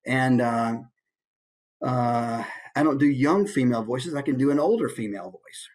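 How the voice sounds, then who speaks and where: A man's voice: medium pitch, normal speed, a little mellow. A man giving an informal instructional talk as a voice actor and coach. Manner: demonstrates points with brief put-on voices.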